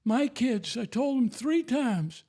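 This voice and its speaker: A man's voice: very high voice, animated, slow, dark tone. An older man giving an emphatic, repetitive, preachy testimony about faith and raising children.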